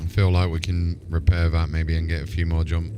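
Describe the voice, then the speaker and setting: A man's voice: sits low, level tone, a little fast, over music. A man giving casual, chatty live commentary as he plays a game on stream. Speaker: streamer and gamer.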